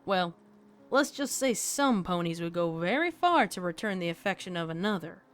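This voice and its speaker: A woman's voice: on the low side, big pitch swings, normal speed, faint music behind. A woman voicing a folksy, plainspoken female country pony with a drawl in a fan fiction reading. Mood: folksy storytelling.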